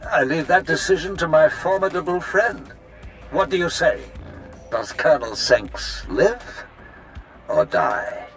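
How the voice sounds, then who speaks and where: An actor playing a courtly, scheming older Sith lord in a video game, measured, theatrical and pompous in delivery. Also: male voice, on the high side, animated, a bit bright, soft background music. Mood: smug, pleased. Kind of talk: video game cutscene acting.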